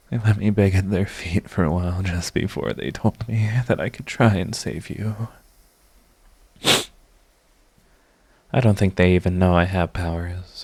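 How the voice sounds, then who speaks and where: A man's voice: sits low, darkish tone, slightly rough, no echo. A man playing a proud villain who is secretly devoted to his rival, in an intimate, emotional audio roleplay. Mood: urgent, pained. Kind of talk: dramatic ASMR audio roleplay.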